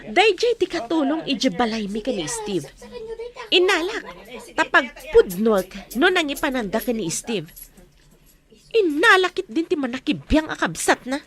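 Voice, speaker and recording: An actress playing a cruel, bossy captor with an Ilocano Filipino accent in a radio drama, sharp and commanding. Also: female voice, high-pitched, sing-song, moderate pace, soft background music. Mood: haughty gossip. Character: cruel bossy woman guarding a bound captive maid.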